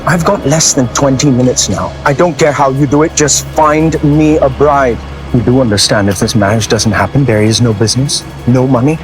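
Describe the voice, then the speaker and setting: Businessman groom, thirties, male actor, curt, impatient, commanding, medium pitch, drama with music underneath. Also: normal speed, lightly acted, rough recording. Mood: impatient, demanding. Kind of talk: dramatic scripted acting.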